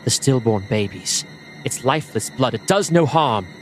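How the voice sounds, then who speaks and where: A man voicing a stern woman high priestess who speaks in a commanding, defensive manner. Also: expressive, moderate pace, faint music behind, natural voice, rough recording.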